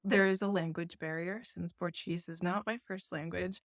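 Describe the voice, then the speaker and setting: A woman from New York, a festival founder, talking through an interview in a soft, diplomatic way and laughing often. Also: on the low side, steady tone, normal speed, no room sound, clean studio sound. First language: English. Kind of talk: casual interview chat.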